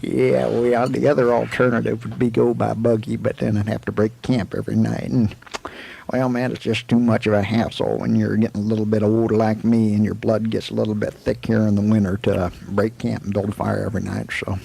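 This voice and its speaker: A man playing a folksy, jokey, aging frontier town doctor in a western radio drama, with a rambling, stammering delivery and a gravelly voice. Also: fairly low pitch, little pitch movement, brisk, mellow, rough recording. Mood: wry, teasing. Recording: faint noise, old 1984 amateur recording.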